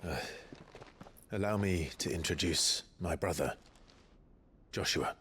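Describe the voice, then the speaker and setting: An actor playing a grave, resolute warrior leader in a fantasy game, speaking in a terse, decisive, low-key way. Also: male voice, takes it slowly, fairly bright tone, slight background noise. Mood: grave, restrained. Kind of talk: cinematic game dialogue.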